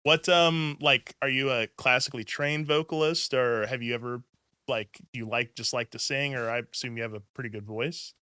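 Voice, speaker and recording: A man hosts a relaxed music chat, rambling along with plenty of "like" and "um." His voice is bright and clear, and it sits close and dry on the mic. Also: medium pitch, moderate pace, dry recording. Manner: chatty, rambling, heavy use of "like" and "um". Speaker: podcast host. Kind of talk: casual interview chat.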